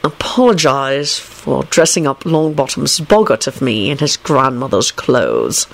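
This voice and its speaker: A woman voicing a haughty older male professor from a portrait in a storybook, with a slow, disdainful drawl. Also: very deep voice, very animated, vocal fry, pitched below the performer's own voice, full character voice.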